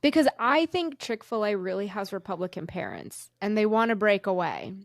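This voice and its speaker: A woman's voice: medium pitch, big pitch swings, normal speed, gravelly, no echo. Upbeat woman podcast co-host, dry sound. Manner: upbeat, laughs often. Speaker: podcast co-host, former cruise director. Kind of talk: casual podcast chat.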